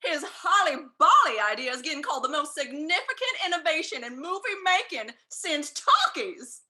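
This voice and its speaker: A woman playing an excitable, gushing television entertainment news presenter in a screenplay table read. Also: high voice, normal speed, exaggerated voice. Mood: gushing, excited.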